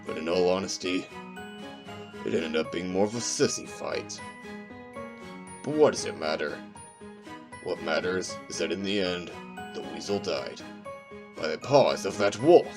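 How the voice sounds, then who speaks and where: A man's voice: deep voice, animated, measured pace, long pauses, music in the background. A man playing a brash animal witness who loves a good fight, in an amateur audio drama. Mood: accusing.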